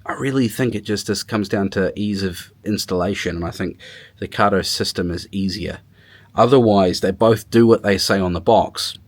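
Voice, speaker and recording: Low-voiced man, casual gear reviewer. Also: moderate pace. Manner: informal, thinking aloud. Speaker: motorcycle tech reviewer. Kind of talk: casual hands-on product demo and review.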